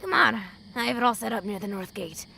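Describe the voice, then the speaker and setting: A woman playing a gruff, hot-tempered, battle-hardened wasteland mentor in an audio drama, with a gravelly voice and a blunt, sardonic, commanding manner. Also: on the high side, expressive, fairly quick, bright, forceful tone, a little hiss or hum, heavily acted. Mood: gruff, dry.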